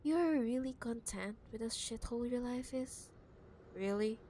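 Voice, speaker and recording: A woman playing a possessive, condescending wealthy CEO ex-girlfriend in an intimate cyberpunk audio roleplay, teasing and scornful with drawn-out emphasis. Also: fairly high pitch, warm tone, a little hiss or hum, lightly acted. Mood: exasperated, scornful. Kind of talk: ASMR audio roleplay, intimate dramatic monologue.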